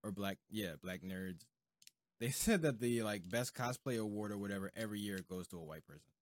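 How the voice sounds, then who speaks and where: A man's voice: fairly low pitch, expressive, fairly quick. Casual man chatting on a podcast. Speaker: podcast host.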